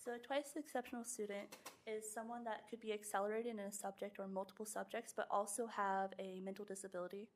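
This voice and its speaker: A woman's voice: medium pitch, steady tone, quick pace, a little hiss or hum. A woman teacher giving a presentation to a school board and answering questions, talking chattily with many fillers.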